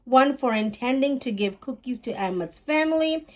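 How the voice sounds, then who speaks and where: A woman's voice: high voice, lively intonation, moderate pace, darkish tone. A woman voicing an eager young boy in a children's story, easily disappointed. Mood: proud, excited.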